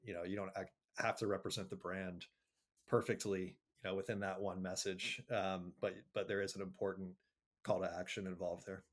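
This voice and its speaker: A man answering interview questions conversationally, thinking aloud in a measured way with frequent pauses. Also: sits low, level tone. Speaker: interview guest, marketing agency executive.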